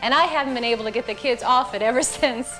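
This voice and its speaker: A woman plays a chirpy suburban mother in a nineties promotional video. Her voice is fairly high and she talks quickly. Music plays clearly behind her. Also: bright, forceful tone. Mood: cheerful, chatty.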